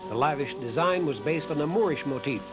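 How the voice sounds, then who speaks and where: A man's voice: fairly high pitch, animated, fairly quick. A man reading a scripted historical documentary narration. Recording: narration over background music. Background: music.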